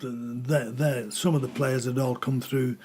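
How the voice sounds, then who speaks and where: A man's voice: mid-range voice, little pitch movement, measured pace, a little mellow, breathy edge. An older man born in Scotland, telling rambling stories as an interview guest and often repeating words while he hunts for names. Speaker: guest, former rugby player. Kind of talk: conversational interview storytelling.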